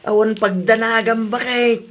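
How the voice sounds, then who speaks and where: Woman acting a slow, offhand Ilocano spouse. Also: a little high, fairly even intonation, a bit bright, a little hiss or hum. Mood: teasing. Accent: Ilocano Filipino. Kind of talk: radio comedy-drama acting in Ilocano.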